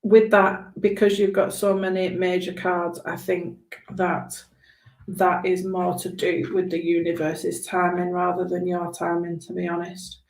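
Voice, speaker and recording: A woman giving a spontaneous live tarot reading in a halting, reassuring, conversational way, with a slightly hoarse voice. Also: fairly low pitch, monotone. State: hoarse after long talking. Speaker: tarot reader hosting a live stream. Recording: roomy, echoing room sound.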